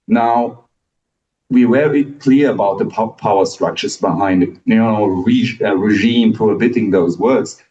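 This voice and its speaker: A man's voice: fairly low pitch, moderate pace, dark tone, reverberant, recorded from a distance, muffled recording. A man in the audience asking a thoughtful, hesitant question after a lecture.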